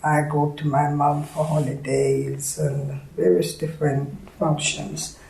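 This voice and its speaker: An older woman in her seventies with a Jamaican accent reminisces at an unhurried pace. Her voice is gravelly and creaky, and she searches haltingly for details. The room around her is echoey. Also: low-pitched, little pitch movement, mellow. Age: 70s. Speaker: oral history interviewee. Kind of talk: reminiscing in an interview. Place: indoors at an arts centre.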